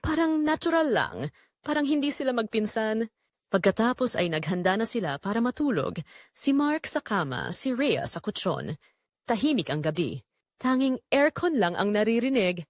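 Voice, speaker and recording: A woman's voice: on the high side, sing-song, normal speed, no room sound, studio quality. A woman narrating a scripted dramatic story.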